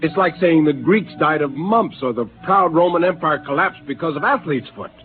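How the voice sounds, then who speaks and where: A man's voice: a little high, normal speed, soft background music, close to an everyday voice. An actor playing Spender, an intense, moralizing crewman grieving a dead race, in a 1950s radio drama. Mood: bitter. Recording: narrow-band vintage radio broadcast.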